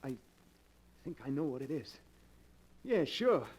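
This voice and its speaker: An actor playing a nervous, evasive husband with a guilty secret, halting and stammering in 1940s radio drama style. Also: male voice, a little high, very animated, darkish tone, a little hiss or hum. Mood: evasive, restless. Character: jittery guilt-ridden locksmith turned criminal, evasive husband. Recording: distant-sounding 1940s radio recording.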